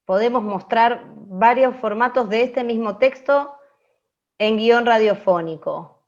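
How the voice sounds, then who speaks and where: A woman with an Argentine accent explaining deliberately as a teacher in an online open class, over a video call. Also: a little high, a little mellow. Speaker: radio drama teacher. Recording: dull, lo-fi online call audio.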